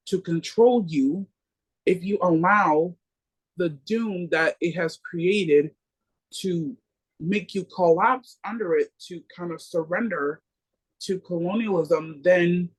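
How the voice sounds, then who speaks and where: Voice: medium pitch, darkish tone, breathy edge, poor audio. A book club member in political discussion, speaking earnestly and rallying others.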